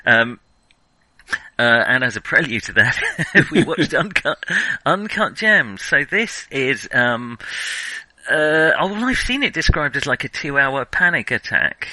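A man's voice: mid-range voice, big pitch swings, measured pace, bright, forceful tone, no echo, poor audio. A man hosting a podcast, chatting casually about films in a wry, self-deprecating way, often hesitant and rambling. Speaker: podcast host. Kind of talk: casual film discussion.

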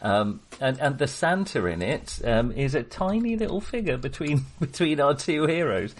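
A man with a husky voice hosts a casual film podcast. His pitch moves around in an animated way, and his delivery is wry and jokey. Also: moderate pace. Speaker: podcast host.

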